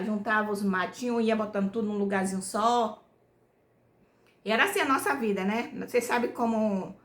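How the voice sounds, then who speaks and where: A woman's voice: mid-range voice, a bit bright. A middle-aged woman from Bahia, telling her life story to her viewers in a homespun, rambling way, tagging nearly every phrase with "né?". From Bahia, Brazil. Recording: echoey room.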